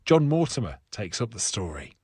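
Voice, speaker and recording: A man's voice: lowish voice, big pitch swings, normal speed. A man giving a scripted programme introduction as a presenter. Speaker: continuity presenter.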